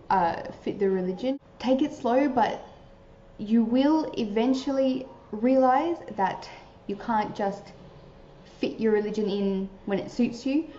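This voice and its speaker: A woman's voice: mid-range voice, mellow, a little hiss or hum. A young woman giving earnest, chatty advice to camera, filling her sentences with "like.". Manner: earnest, chatty, many filler likes. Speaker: vlogger giving advice to new converts. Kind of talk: casual advice talk to camera. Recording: roomy, slightly dull sound.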